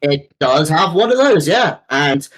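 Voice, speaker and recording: Playful, lively-pitched man chatting casually. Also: unhurried. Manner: playful, joking, repeats himself. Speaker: co-host. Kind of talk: casual jokey chat.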